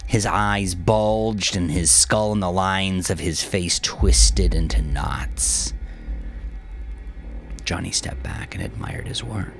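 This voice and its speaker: A man narrating a dark audiobook in a deliberate, dramatic, grim manner. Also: deep voice, gravelly, faint music behind.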